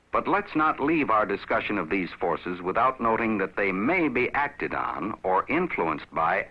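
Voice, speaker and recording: A man narrates a vintage training film with lively, widely moving intonation. His voice is slightly low and a touch husky, and he explains things in a measured, scripted, instructive way. The soundtrack is dull and aged. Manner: measured, instructive, addressing the viewer. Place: recorded voice-over.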